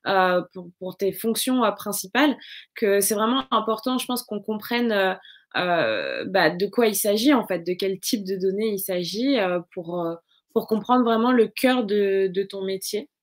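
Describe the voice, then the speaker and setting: A woman's voice: mid-range voice, lively intonation, measured pace. A woman hosting a casual interview, with informal, hesitant, wordy phrasing. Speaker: interview host.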